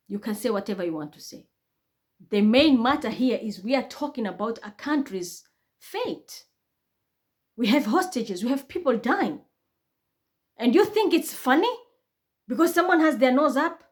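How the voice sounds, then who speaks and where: A woman with a Kenyan accent giving a blunt, heated monologue, swearing freely and building to outbursts. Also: a little high. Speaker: online commentator. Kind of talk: impassioned monologue.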